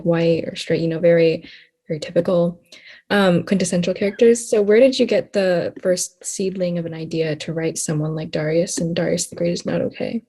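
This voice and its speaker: Husky, mellow American teenage girl. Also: medium pitch. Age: teens, high school junior. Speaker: teen panel moderator. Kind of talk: casual interviewing.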